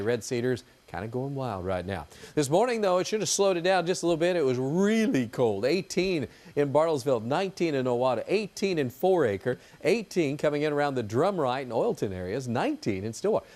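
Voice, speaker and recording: A man's voice: medium pitch, darkish tone. A man giving an ad-libbed TV weather forecast in a chatty, folksy, informal way.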